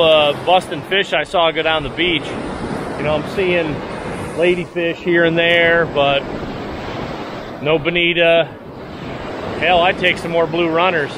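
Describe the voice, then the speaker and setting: A middle-aged man vlogging while fly fishing from an ocean beach, talking casually to his chest camera and breaking into excited shouts when fish hit. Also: fairly high pitch. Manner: excited bursts of exclamation while fighting fish. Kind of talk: casual self-narrated fishing vlog. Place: outdoors on an ocean beach in the surf. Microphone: action camera on a chest harness. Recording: noisy outdoor beach audio with surf. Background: loud crashing surf and wind.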